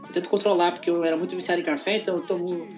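A man's voice: high voice, little pitch movement, racing, soft background music. A man in casual group chat, earnestly explaining customs.

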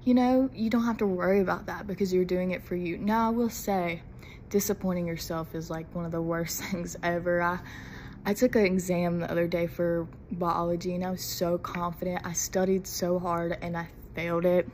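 A woman's voice: on the low side, fairly even intonation, moderate pace, darkish tone, slight background noise. Nervous, giggly young woman. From Mississippi. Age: young adult. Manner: nervous, giggly, rambling with many "like"s. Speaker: podcast host. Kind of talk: casual solo podcast chat.

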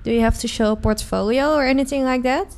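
A woman's voice: high voice, quick pace, a little mellow. A woman hosting a casual interview, asking friendly and encouraging questions.

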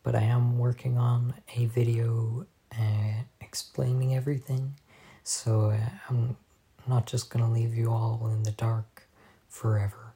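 A hoarse man hosting a casual solo podcast at home, soft-spoken and halting, recorded on a phone voice memo. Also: mid-range voice, level tone. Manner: soft-spoken, halting, drifting off mid-sentence. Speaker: podcast host.

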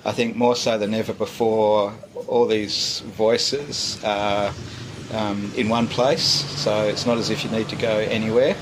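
A middle-aged man, a pastor, answering interview questions in a relaxed, hesitant way, with many fillers and pauses. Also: on the low side, background noise.

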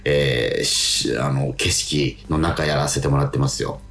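A man telling stories as a radio host in casual chat, excitable and colloquial, often repeating his agreements. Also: rumbling low, measured pace, gravelly, roomy sound, soft background music. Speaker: radio show DJ and stage actor.

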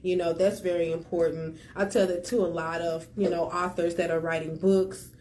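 A woman's voice: fairly low pitch, little pitch movement, normal speed, a little mellow, a little hiss or hum. A woman hosting a casual podcast chat, speaking in an enthusiastic, chatty way and laughing easily. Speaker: podcast host.

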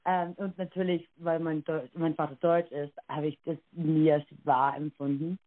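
A woman's voice: low voice, flat delivery, moderate pace, dry recording. A young Irish woman with an Irish accent, answering interview questions hesitantly, with many filler sounds and self-corrections. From Ireland. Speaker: interview guest. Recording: tinny phone-like line, dry.

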